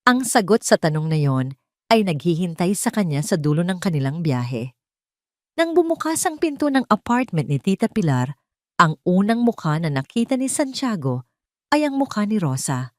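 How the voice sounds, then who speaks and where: Low-pitched Filipino woman narrating a story. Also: sing-song, normal speed, darkish tone, dry recording, clean studio sound. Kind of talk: scripted dramatic story narration.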